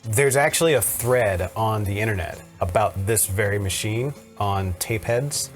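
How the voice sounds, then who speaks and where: A man's voice: lowish voice, normal speed, soft background music. A man casually presenting and explaining vintage machines in an easygoing conversation.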